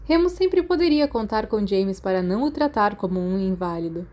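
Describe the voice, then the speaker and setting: A woman with a Brazilian accent reading a story aloud as an expressive audiobook narrator. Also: medium pitch, normal speed.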